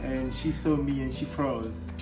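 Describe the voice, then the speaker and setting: A man's voice: medium pitch, steady tone, unhurried. A man, raised in Paris, co-hosting a casual couple Q&A in a playful, joking way. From born in Cameroon, grew up in Paris. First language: French. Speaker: co-host. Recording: echoey room with music.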